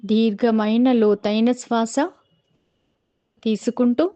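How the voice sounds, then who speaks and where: Slow, higher-pitched Telugu woman guiding meditation. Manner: calm, measured, pausing between short phrases. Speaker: meditation guide. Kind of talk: guided meditation instruction.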